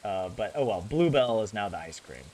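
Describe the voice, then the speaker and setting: A man's voice: on the low side, expressive, brisk, darkish tone, slight background noise. A young man with a general American accent chatting casually with his viewers while he plays a game, rambling and correcting himself as he goes. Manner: rambling, chatty, self-correcting, laughs often. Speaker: gaming streamer.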